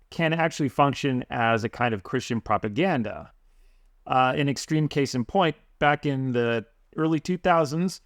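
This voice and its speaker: A man's voice: medium pitch, no room sound, clean studio sound. A man hosting a podcast, asking long conversational questions. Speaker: podcast host. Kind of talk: interview questions.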